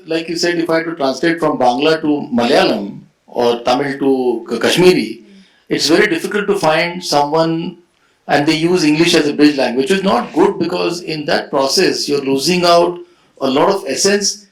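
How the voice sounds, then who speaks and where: A man from India speaking on a festival panel, explaining his views in a measured, earnest way. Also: a little high, reverberant, low-quality recording. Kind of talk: panel discussion. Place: festival stage hall.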